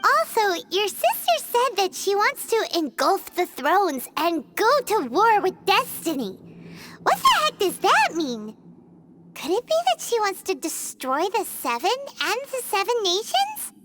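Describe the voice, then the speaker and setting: A woman voicing a small, excitable, childlike fairy companion in a video game, squeaky and full of exclamations and questions. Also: measured pace, very noisy, exaggerated voice. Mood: puzzled, explaining. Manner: exclamatory, questioning, refers to self in third person. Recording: game music behind the voice.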